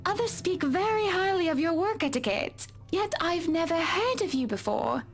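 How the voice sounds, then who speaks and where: A woman's voice: extremely high pitch, expressive, moderate pace. A woman voicing a poised, wealthy wife who talks business in a refined, assured way. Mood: composed. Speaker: wealthy client. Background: game music.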